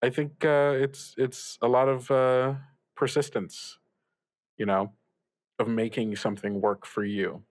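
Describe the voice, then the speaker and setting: A man playing a reassuring older radio mentor in an improvised audio drama, giving gentle advice in a halting, rambling way. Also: mid-range voice, little pitch movement, dry recording, studio quality, lightly acted. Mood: halting reassurance. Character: reassuring older male radio mentor, upbeat, evasive about troubles. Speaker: student radio show host. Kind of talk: improvised actual-play audio drama, conversational.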